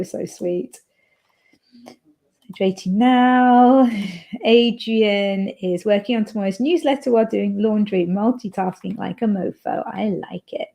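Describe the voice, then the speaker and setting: A woman's voice: medium pitch, vocal fry, dark tone. A woman hosting a writing livestream, chatting casually and playfully as she reads chat messages aloud.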